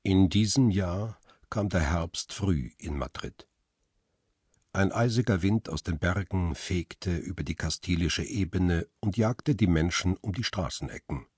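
An actor playing a lonely, melancholy middle-aged photographer who narrates a radio drama in a measured, reflective way. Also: male voice, sits low, darkish tone, slightly rough, no room sound, studio quality. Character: world-weary male photographer, lonely widower, melancholy. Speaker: first-person narrator and lead.

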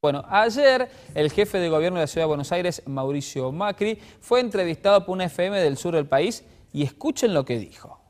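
A man with an Argentine accent presenting a lively show as a radio host. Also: high voice, normal speed.

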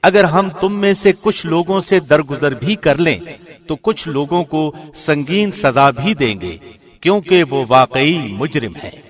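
A man reading a scripted scripture translation in a formal, deliberate radio presenter's delivery. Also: on the high side, animated.

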